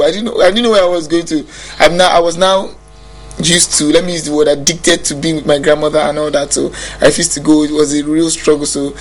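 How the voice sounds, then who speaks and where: A man's voice: fairly high pitch, a little hiss or hum, rough recording. A young Nigerian man with a Nigerian accent, a musician talking casually in an interview, reflective, often restarting his sentences. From Nigeria (Bayelsa State). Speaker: musician guest.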